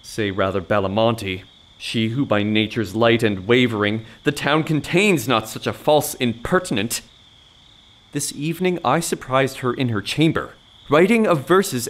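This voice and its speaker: A man playing an excitable, easily offended young gallant in a period audio farce, florid and exclamatory. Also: medium pitch, unhurried, natural voice. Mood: indignant.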